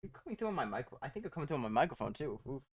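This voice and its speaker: A man's voice: medium pitch, big pitch swings, racing, slightly rough. A young man chatting casually on a live stream from home, rambling, laughing often and restarting his sentences. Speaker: streamer and mod developer.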